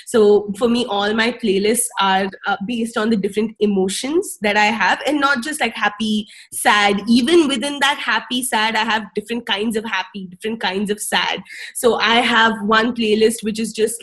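A woman's voice: on the high side, fairly bright tone. A young woman whose first language is Tamil, a singer, giving casual advice in a chatty way, full of fillers and tag questions. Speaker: speaker sharing wellbeing advice.

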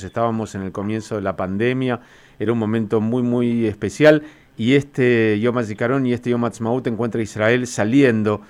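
A male podcast host, introducing and questioning a guest with a measured, formal delivery. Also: medium pitch, clear, resonant tone. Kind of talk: radio interview.

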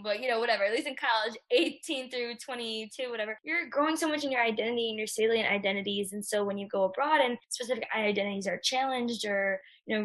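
A woman in her twenties from the United States, talking casually on a student podcast and filling her speech with "like", acting out her own quotes with big leaps up in pitch. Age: 20s. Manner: animated, says "like" constantly, acts out quotes with big jumps. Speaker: student guest.

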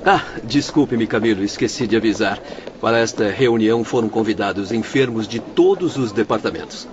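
An actor with a Brazilian accent playing a courteous, composed doctor who explains things formally in a radio drama. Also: male voice, on the low side, brisk, close to an everyday voice. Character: courteous, composed male doctor guiding patients in the afterlife. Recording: dull lo-fi radio recording, faint noise.

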